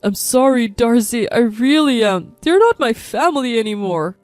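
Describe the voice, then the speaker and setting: A woman's voice: a little high, animated, moderate pace, a little mellow, no room sound, lightly acted. A woman voicing a blunt, bitter man in a story's family feud. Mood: bitter, sorry. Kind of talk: acted dialogue in a narrated story. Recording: background music under the voice.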